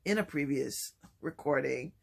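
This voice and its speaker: A man talking softly and haltingly in a personal vlog, with many pauses and restarts. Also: lively intonation, vocal fry. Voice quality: low voice. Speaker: vlogger. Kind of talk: personal vlog, reflective talk.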